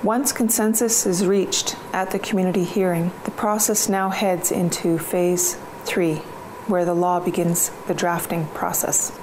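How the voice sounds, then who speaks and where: A woman narrates an informational video from a script. Her pitch sits in the middle range for a woman, and faint noise sits behind her voice.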